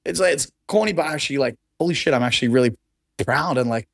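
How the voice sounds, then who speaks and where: Raspy Australian man, gravelly voice. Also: medium pitch, quick pace, no echo, clean studio sound. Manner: enthusiastic, conversational, laughs often. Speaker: podcast guest. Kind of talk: casual chat.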